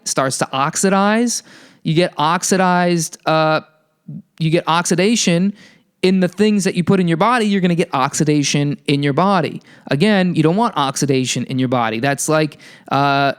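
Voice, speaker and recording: A young man with a somewhat high, smooth and resonant voice, rambling casually about health. He is sarcastic and swears freely, and stumbles through fillers and restarts. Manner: puts on silly high voices for quoted people. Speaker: podcast host.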